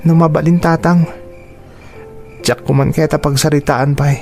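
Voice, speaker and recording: A man's voice: a little high, steady tone, moderate pace, darkish tone, soft background music, close to an everyday voice. A man playing a troubled son in an audio drama, questioning and emotional. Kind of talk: Ilocano audio drama acting.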